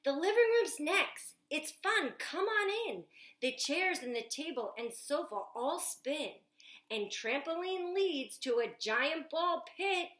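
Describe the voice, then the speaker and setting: A woman's voice: sits high, animated, slow pace, a bit bright, pitched above the performer's own voice, heavily acted. A woman reading a storybook's lines as an imaginative young boy who proudly shows off his dream house, in bouncy rhyming verse with stretched words. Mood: proud, excited. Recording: echoey room.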